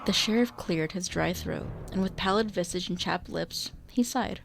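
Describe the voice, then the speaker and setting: Creaky, lower-pitched woman narrator. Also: normal speed, a little hiss or hum. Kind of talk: scripted radio drama narration.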